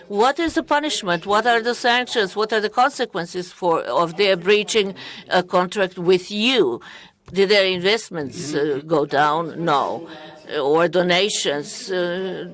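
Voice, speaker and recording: A woman with a slightly low voice delivers a live interpretation of a political speech. Her phrasing is deliberate and halting, arriving in short broken pieces.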